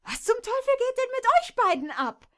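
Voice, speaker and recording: A woman voicing a young hot-tempered mare who shouts shrilly when angry. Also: high voice, lively intonation, moderate pace, pitched above the performer's own voice. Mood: furious.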